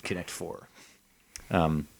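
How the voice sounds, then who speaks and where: A man co-hosting a comedy podcast, improvising pitches in casual, jokey banter and slipping into exaggerated bits. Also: fairly even intonation, slow pace, gravelly. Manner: jokey, slips into mock voices and exaggerated bits. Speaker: podcast co-host.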